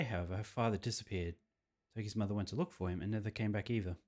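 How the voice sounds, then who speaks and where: A man streaming a visual novel, reading the text aloud and adding his own commentary. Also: fairly low pitch. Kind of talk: game playthrough commentary and dramatic read-aloud. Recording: game music behind the voice. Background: video game music.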